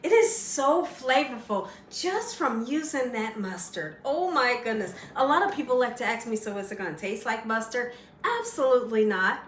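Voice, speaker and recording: A woman hosting a home cooking show, chatty and folksy, slightly hoarse as she loses her voice, often asking "right?". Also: fairly high pitch, animated, normal speed, fairly bright tone, roomy sound, distant mic, a little hiss or hum. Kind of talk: casual cooking demonstration. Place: home kitchen.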